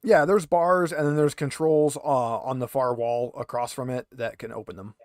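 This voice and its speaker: A man's voice: medium pitch, fairly even intonation, normal speed, a little mellow, no echo, clean studio sound. A man speaking casually as the game master of an online tabletop roleplaying game. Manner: casual, conversational.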